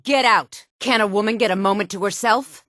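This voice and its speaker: A woman's voice: medium pitch, fairly quick, a bit bright, dry recording, studio quality, close to an everyday voice. Actress as a sultry, haughty fantasy game townswoman. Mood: indignant, threatening. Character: sultry adult fantasy townswoman, haughty, flirtatious, quick to threaten. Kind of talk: video game voice lines, fantasy dialogue and combat barks.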